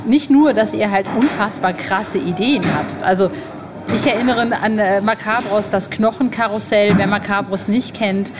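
A woman's voice: medium pitch, moderate pace, background noise. A woman hosting an interview in casual, colloquial, enthusiastic chat, gushing as she talks. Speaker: podcast host and interviewer.

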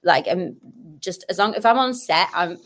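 A woman's voice: on the low side, moderate pace. Chatty woman telling stories casually. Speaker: podcast guest, actress and sketch comedian. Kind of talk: casual chat, telling anecdotes.

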